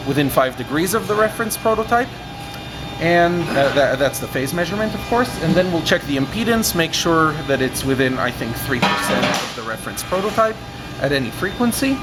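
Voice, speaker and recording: A man's voice: fairly high pitch, a little fast, over loud music, poor audio. A man in his thirties giving a precise, unscripted technical explanation in a methodical way. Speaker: loudspeaker maker explaining factory testing. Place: large industrial workshop, indoors. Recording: steady ventilation and workshop machinery noise.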